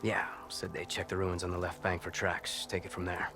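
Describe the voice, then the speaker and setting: An actor playing an obliging, practical guard in a video game, speaking plainly and casually. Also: male voice, low-pitched, level tone, brisk, music in the background. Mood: helpful. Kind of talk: video game cutscene acting.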